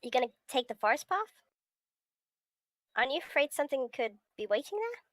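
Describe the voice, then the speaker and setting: A woman's voice: sits high, sing-song, a little fast, fairly bright tone, no echo, studio quality. A woman casually reading a visual novel script aloud among friends. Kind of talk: casual chat and amateur read-aloud of game script.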